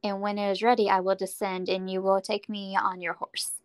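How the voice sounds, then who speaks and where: Woman reading as Rapunzel, a gentle clear-voiced maiden. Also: medium pitch, flat delivery, moderate pace, no room sound, close to an everyday voice. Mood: willing, earnest.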